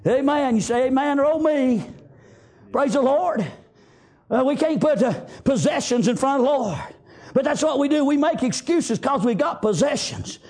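A man with a Southern US accent preaching a fervent revivalist sermon, exhorting loudly and peppering it with praise interjections. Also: very high-pitched, expressive, normal speed.

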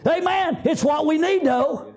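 A man with a Southern US accent preaching a fervent revivalist sermon, exhorting loudly and peppering it with praise interjections. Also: extremely high pitch, a little slow.

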